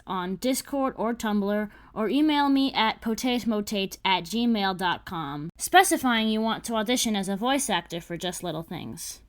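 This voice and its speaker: A woman's voice: medium pitch, clean tone. A woman narrating scripted audition instructions and character descriptions, with precise, emphatic enunciation. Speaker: creator of an animated series giving a casting call.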